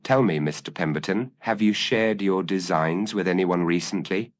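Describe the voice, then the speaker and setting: A man voicing a shrewd, commanding Victorian detective in an audio storybook, speaking formally and deliberately. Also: low voice, close to an everyday voice. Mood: probing, grave.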